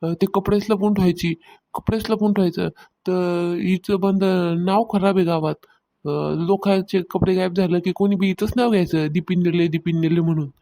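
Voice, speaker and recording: A gossiping, meddling village woman from a comedy cartoon, acted by a woman. She has a rural Maharashtrian accent and a fairly even, middle-pitched voice. She keeps needling as she insists on telling her tales. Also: moderate pace, lightly acted. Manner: taunting, insistent storytelling. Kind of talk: Marathi comedy cartoon dialogue.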